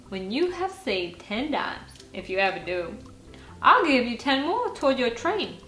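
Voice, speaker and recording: Woman as sensible storybook daddy, slow and measured. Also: mid-range voice, pushed and bright. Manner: measured, reasoning, mildly doubtful. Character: sensible, gently teasing father of a young boy. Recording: roomy sound with faint music behind.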